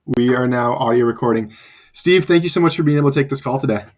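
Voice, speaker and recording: A man interviewing as a student, polite and filling pauses with many ums and uhs. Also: medium pitch, darkish tone. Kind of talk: scripted interview questions over a call.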